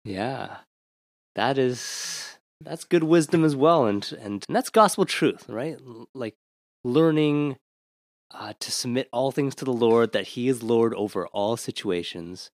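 Upbeat podcast host, dry sound. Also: male voice, mid-range voice, animated, a little mellow, no echo. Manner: upbeat, laughs easily, quick questions. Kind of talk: interview podcast with a rapid-fire question round.

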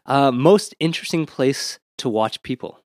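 Upbeat podcast host, dry sound. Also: male voice, medium pitch, expressive, a little mellow, no room sound. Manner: upbeat, laughs easily, quick questions. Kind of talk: interview podcast with a rapid-fire question round.

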